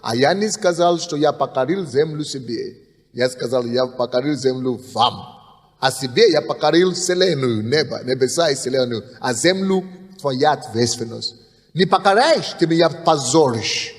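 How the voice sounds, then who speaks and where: A man voicing God within his sermon, scolding and accusing his listeners in a lower, quieter tone. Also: lively intonation, moderate pace, close to an everyday voice. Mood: stern rebuke. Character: stern rebuking male God. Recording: long hall reverb.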